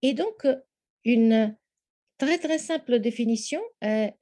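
Slow, mellow woman speaking French. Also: on the high side. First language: Italian. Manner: measured and thoughtful, with frequent hesitations. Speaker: interview guest, language teaching specialist. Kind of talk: explanatory interview answer.

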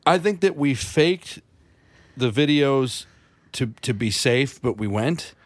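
A man's voice: mid-range voice, expressive, no room sound. Rambling man in casual chat. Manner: rambling, halting with many restarts.

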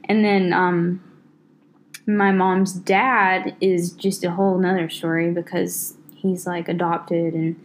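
A woman's voice: on the low side, level tone, slow pace. Chatty woman, lots of "like". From Southern United States. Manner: rambling, full of "like" fillers. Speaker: podcast co-host. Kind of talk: casual chat.

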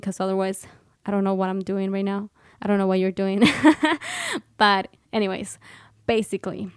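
A woman's voice: medium pitch, smooth, no echo, studio quality. A woman from Mexico with a Mexican Spanish accent, hosting her own solo podcast in an upbeat, encouraging, chatty way. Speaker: podcast host.

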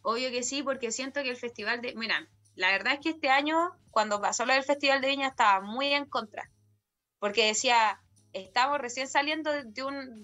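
A woman's voice: high-pitched, bright, forceful tone. A woman with a Chilean accent, a guest singer chatting casually in slangy speech and tagging her sentences with a question. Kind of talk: casual conversation.

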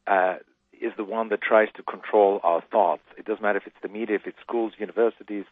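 A man's voice: on the low side, fairly even intonation, a little fast, no room sound. Man on a tinny phone line. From Austria. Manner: emphatic, preaching conviction. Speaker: guest author. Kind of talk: radio interview.

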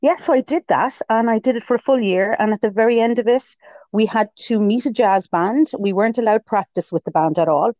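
A woman with a fairly low voice, telling her story as an interview guest in a chatty way, often quoting her own thoughts. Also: fairly quick, darkish tone, no echo. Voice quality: lower voice for a woman. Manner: chatty storytelling with self-quotes and laughs. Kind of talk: casual interview chat.

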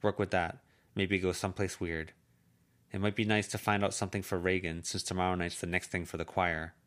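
A man's voice: low-pitched, flat delivery, a little fast, fairly bright tone. A man reading an amateur audiobook, voicing a furious teenage girl who hurls bitter, cutting insults. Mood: hard, curt.

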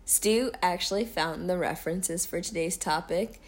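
A woman's voice: lowish voice, normal speed. A woman co-hosting a casual podcast, chatty and upbeat, with plenty of fillers. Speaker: podcast co-host. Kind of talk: casual chat.

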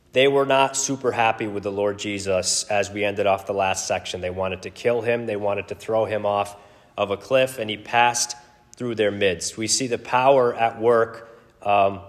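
A man with a deep, booming voice, teaching a Bible study in an informal, conversational, self-deprecating way with halting pauses and casual asides. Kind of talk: informal expository sermon. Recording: long echoing hall reverb.

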